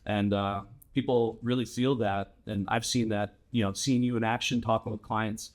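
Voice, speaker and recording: A man's voice: on the low side, little pitch movement, normal speed. A man hosting an interview podcast, talking in an upbeat, effusive way and heaping praise on his guest. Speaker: podcast host. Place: studio in Madison, Wisconsin.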